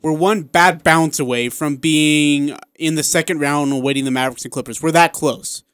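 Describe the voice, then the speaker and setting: A man's voice: on the high side, normal speed, fairly bright tone, clear, resonant tone, no room sound. A man with an American accent hosting a sports talk radio show, chatting emphatically in a studio.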